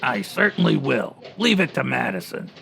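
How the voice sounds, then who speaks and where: A man playing an excitable, fretful newspaperman sidekick in a 1940s radio style comedy, with punchy period slang. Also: medium pitch, moderate pace, gravelly, faint music behind, exaggerated voice. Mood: eager. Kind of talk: 1940s radio crime drama acting.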